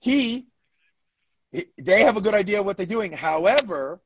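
A man's voice: high-pitched, big pitch swings, a little slow, fairly bright tone, slightly rough, no echo. A man co-hosting a casual sports podcast as a coach, emphatic and given to swearing and repeating his phrases. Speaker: podcast co-host, powerlifting coach.

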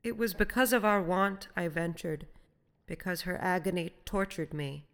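A woman narrator acts out a starving, frightened castaway man from a sea story. He pleads in halting, archaic phrases with a husky, hopeless tone. The sound carries a long reverb. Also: mid-range voice, fairly even intonation, a bit bright, voice pushed up, close to an everyday voice. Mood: anguished. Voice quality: husky, faltering.

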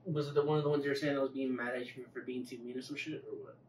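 Male podcast host, medium pitch, profane easygoing chat, echoey room sound. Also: fairly even intonation, very fast, a little mellow. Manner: profane, agreeable interjections. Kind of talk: casual chat. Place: echoey room, away from the mic. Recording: distant mics, echoey room, faint noise.

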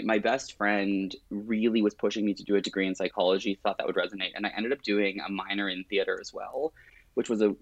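A man's voice: lowish voice, no room sound. A middle-aged man, chatting casually in an interview in a wry, self-deprecating way. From born in Lebanon, grew up partly in rural Alberta, Canada. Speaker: realtor, interview guest.

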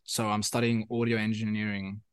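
A man's voice: on the low side, level tone, a little fast, clean tone, no room sound, studio quality. A young man from South Africa whose first language is Afrikaans, speaking English with a South African accent in a casual interview, rambling and repeating himself with many fillers. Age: young adult. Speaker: musician guest.

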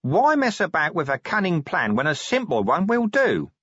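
A man reading a story plays a cheerful, cheeky corporal. He is blunt and practical, his voice pushed up high and bouncing around, on a slightly dull recording. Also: wildly swooping pitch, moderate pace, fairly bright tone, husky, dry recording, full character voice.

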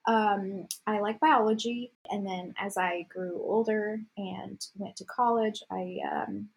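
A woman's voice: mid-range voice, steady tone, slow pace, creaky, breathy edge. A woman from Egypt chatting casually as a co-host, telling her story in an informal, tangential way. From Egypt, until about thirteen. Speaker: podcast co-host. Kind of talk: casual chat. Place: remote call.

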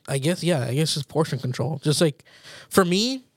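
A man's voice: a little high, expressive, a little slow, no room sound, studio quality. A man in his late twenties chatting casually as a podcast co-host, informal, slangy and self-deprecating. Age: late 20s. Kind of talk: casual chat between friends.

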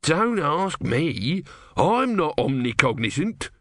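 A man's voice: on the high side, sing-song, slow, fairly bright tone, dry recording, clean studio sound, pitched above the performer's own voice, full character voice. A man voicing a grumpy small god trapped as a tortoise, evasive and sardonic, in a storybook reading. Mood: casual, sheepish.

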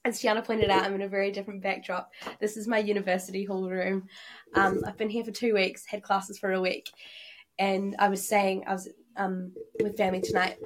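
A young woman who is a university student co-hosts a podcast from her hall room. She sounds tired and a little rough from a recent cough. She talks in an easygoing, rambling way. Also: mid-range voice. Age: young adult. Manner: laid-back, rambling. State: tired, getting over a cough. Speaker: podcast co-host. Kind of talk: casual chat.

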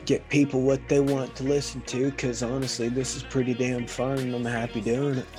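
A man hosting a casual podcast, hoarse from a sore throat and talking in an earnest, rambling way. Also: mid-range voice, level tone, moderate pace, music in the background. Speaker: podcast host.

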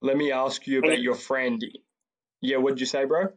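A man's voice: mid-range voice, normal speed. Male interviewer, medium voice, laid-back and slangy. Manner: relaxed, slangy, says "bro". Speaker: podcast host. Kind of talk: casual interview questions.

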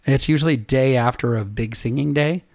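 A middle-aged man talking casually as a podcast co-host, chatty and quick to laugh as he wanders into stories. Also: medium pitch, a little mellow, no room sound, studio quality. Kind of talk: casual chat.

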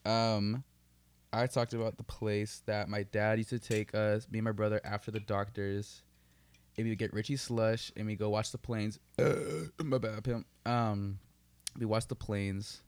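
A man's voice: on the low side, level tone, measured pace, smooth. A young man co-hosting a podcast, telling stories in casual, profane, joking slang. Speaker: podcast co-host. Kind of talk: casual banter and storytelling.

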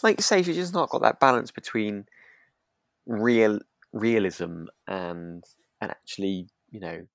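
British male co-host, low register, dry sound, relaxed but halting conversational delivery. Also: gravelly, dry recording. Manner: hesitant, often repeats words mid-sentence. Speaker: podcast co-host. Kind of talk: relaxed chat discussing a radio drama.

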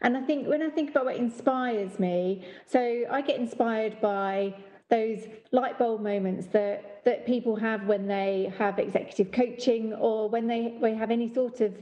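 A woman's voice: a little high, a little slow, dark tone, lo-fi sound. A woman in her fifties from East London, an executive coach, explaining with enthusiasm as a podcast guest. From East End of London.

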